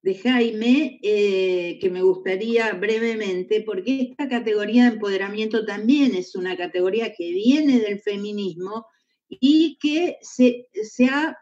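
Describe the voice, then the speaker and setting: A woman panelist answers on a webinar, stumbling over her first words and repeating them as she gets going. Her voice is of middling pitch. The audio is dull and lo-fi over a video call. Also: measured pace, a little mellow.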